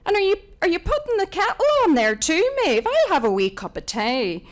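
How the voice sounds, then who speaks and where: A woman's voice: very high voice, wildly swooping pitch, normal speed, pitched above the performer's own voice, full character voice. A woman voicing a nosy, scrounging older female neighbour in a story reading, falsely sweet and wheedling, with a Northern Irish accent. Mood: gushing, wheedling. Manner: gushing, wheedling, gossipy.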